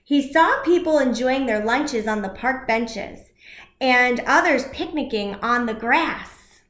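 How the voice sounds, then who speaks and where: Woman, high-pitched, slow storybook read-aloud, educator speaking to young children, echoey room. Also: animated, a bit bright. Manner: deliberate, simple phrasing with questions to young listeners.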